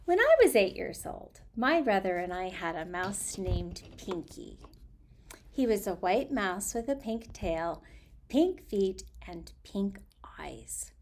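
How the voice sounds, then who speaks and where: A woman storyteller talking casually and reading aloud with expressive, dramatic emphasis. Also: medium pitch, slow, a little hiss or hum.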